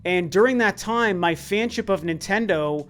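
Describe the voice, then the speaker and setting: A high-pitched man of about forty talks through viewer questions in a relaxed, earnest way. His voice sounds worn and tired, and he often restarts his sentences. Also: normal speed, soft background music. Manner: earnest, conversational, with frequent restarts. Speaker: YouTube channel host. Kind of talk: casual Q&A chat.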